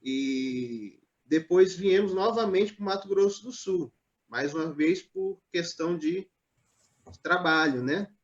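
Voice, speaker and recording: A man from Northeast Brazil, a pastor, telling his life story in a live online interview in a measured, hesitant way, with many pauses and fillers. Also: high-pitched, lively intonation, highs cut off. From Natal, Northeast Brazil.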